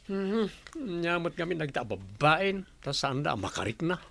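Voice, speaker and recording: An actor playing a young womanizer in a radio drama, cocky but protective, with a creaky voice. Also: fairly high pitch, sing-song, unhurried, fairly bright tone, heavily acted. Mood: defensive. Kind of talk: radio drama acting in Ilocano.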